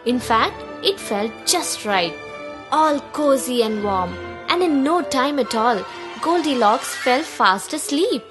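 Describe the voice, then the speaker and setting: Female narrator for children's fairy tales; high voice, very lively intonation, deliberate expressive delivery. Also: music in the background. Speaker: storyteller.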